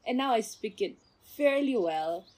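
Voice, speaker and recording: A woman's voice: a little high, lively intonation, unhurried, darkish tone. A young woman from Kenya with a Botswana English accent, telling her story in a casual interview and laughing often. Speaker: doctor interviewee.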